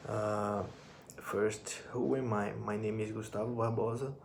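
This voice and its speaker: A young Brazilian man in his twenties talks into his phone camera, pausing and restarting as he reads from a note. His low voice has a gravelly edge and stays flat, and a faint hiss sits under it. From northern Brazil. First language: Portuguese. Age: 20s. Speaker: musician and drum teacher. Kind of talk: personal vlog talking to camera.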